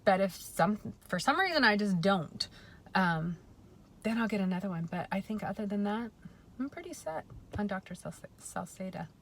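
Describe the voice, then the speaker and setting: A woman in her forties talking in a chatty, reflective way in a personal vlog, often pausing with um and correcting herself. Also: medium pitch, lively intonation, slight background noise. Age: 40s. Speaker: vlogger.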